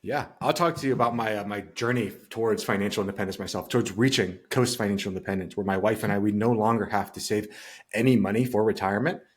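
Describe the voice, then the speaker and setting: A man's voice: lowish voice, fast talker, husky. A man co-hosting a podcast as a financial coach, talking earnestly and giving his opinions in a reflective, casual way.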